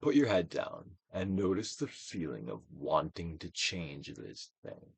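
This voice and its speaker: A man's voice: sits low, very animated, gravelly, breathy edge, no room sound. Theatrical male reader with sweeping, dramatic range. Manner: theatrical, Shakespearean delivery with deliberate pauses. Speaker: audiobook reader, actor. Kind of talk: dramatic self-help book reading, guided exercise.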